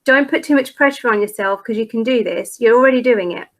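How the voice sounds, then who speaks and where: Higher-pitched woman, informal and reassuring. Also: brisk. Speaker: parent program presenter. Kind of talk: conversational online workshop talk for parents.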